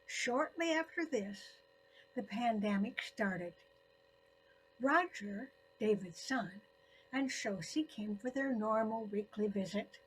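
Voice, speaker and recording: Slow-spoken elderly woman telling a story. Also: mid-range voice, expressive, breathy edge, rough recording. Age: 70s or older. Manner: deliberate phrasing with frequent pauses. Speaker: storyteller narrating own story. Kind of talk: scripted personal story narration.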